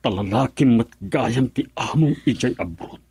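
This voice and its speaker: A middle-aged Filipino man, a betrayed husband in a radio soap. His medium-pitched voice swings widely, volatile and full of reproach. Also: expressive, normal speed, creaky, darkish tone, husky, no echo, full character voice. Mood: bitter reproach. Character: wounded, betrayed husband and father, quick to anger. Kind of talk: Ilocano radio drama acting.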